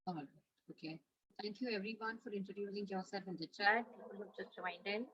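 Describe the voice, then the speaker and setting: A woman's voice: medium pitch, brisk, fairly bright tone, breathy edge. A woman teacher, speaking through a headset in an online class and politely checking in with her students. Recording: lo-fi video call audio.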